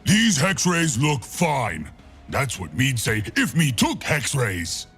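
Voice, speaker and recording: An actor voicing a hulking, dim-witted mad brute who thinks he is a doctor, with a gravelly voice and broken caveman grammar, for a video game. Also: medium pitch, huge pitch swings, takes it slowly, a bit bright, faint music behind, full character voice. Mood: muddled, confident. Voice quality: gravelly, husky. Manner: broken caveman grammar, speaks of himself in third person. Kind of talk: video game character voice lines.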